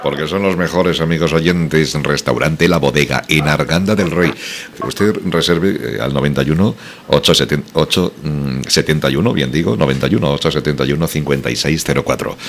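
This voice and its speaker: Very deep-voiced man hosting a radio promo. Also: fairly quick, slight background noise. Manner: salesy, emphatic, exclamatory pitch. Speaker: radio host. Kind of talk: enthusiastic radio promo chat.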